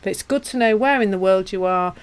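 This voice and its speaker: A woman with a Yorkshire accent teaching and chatting casually with viewers on a live stream, self-deprecating and warm in her asides. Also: mid-range voice, brisk, smooth. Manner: chatty and self-deprecating, with frequent restarts and laughs. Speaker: meditation teacher.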